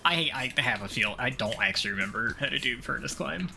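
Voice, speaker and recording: A man's voice: mid-range voice, animated, fairly quick, vocal fry, pushed and bright. A man giving casual gameplay commentary, thinking aloud in a hesitant, self-deprecating mutter with some mild swearing. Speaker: gaming streamer playing through a game. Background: game music.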